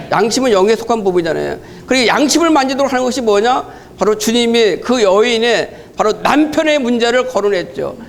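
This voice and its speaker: A man's voice: high-pitched, gravelly. A man giving a sermon-like teaching in church, with a habit of repeating the same filler word.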